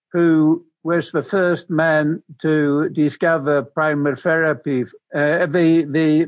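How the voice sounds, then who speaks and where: Older man, Swedish accent, slightly high pitch, halting and repetitive, conversational life story, muffled lo-fi sound. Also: dry recording. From Sweden. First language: Swedish and Danish. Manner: halting, repeats words as he searches for them. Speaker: interview guest.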